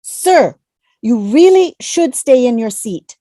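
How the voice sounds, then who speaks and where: High, mellow officious hostess played by a woman. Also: big pitch swings, slow pace, no room sound, clean studio sound, heavily acted. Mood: firm. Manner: polite but firm. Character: officious, orderly bus hostess managing passengers. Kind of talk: amateur online table read.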